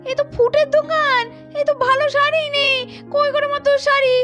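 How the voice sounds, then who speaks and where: A woman's voice: very high voice, flat delivery, brisk. A woman voicing a bossy, demanding cartoon wife with an exclamatory, repetitive manner, over music. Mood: nagging, indignant. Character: bossy, demanding, money-loving wife, easily won over. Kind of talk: doll cartoon story voice acting. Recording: faint music behind the voice.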